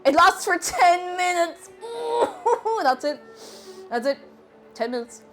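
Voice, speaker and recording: A woman's voice: extremely high pitch, slow, music in the background. An Irish woman with a sniffly cold, chatting casually with viewers while cooking on a live stream. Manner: chatty and animated, thanks viewers warmly.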